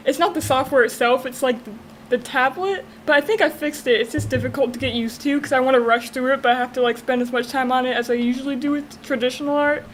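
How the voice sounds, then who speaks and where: A woman's voice: high voice, fairly even intonation, fast talker, slight background noise. A teenage girl guest in a casual chat, mostly giving short replies and plain explanations. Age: teens.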